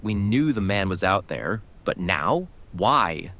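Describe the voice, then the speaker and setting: A man's voice: sits low, sing-song, takes it slowly, fairly bright tone, a little hiss or hum, heavily acted. A man voicing a bitter, guarded male veteran in a fan audio drama, curt and resentful.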